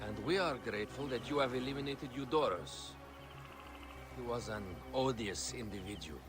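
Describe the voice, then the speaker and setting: An actor playing a shrewd, formal political ally of a queen in a historical video game, speaking with measured authority. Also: mid-range voice, lightly acted. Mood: grave, confiding. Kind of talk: cinematic historical game acting. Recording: game audio under clear background music. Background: music.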